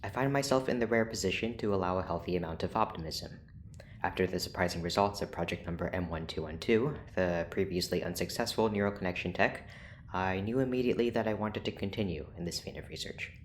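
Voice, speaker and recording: An actor playing a formal, clinical research doctor in an audio drama, speaking in a precise, measured way. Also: deep voice, a little hiss or hum, lightly acted. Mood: clinical, cautiously optimistic. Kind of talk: scripted audio drama acting.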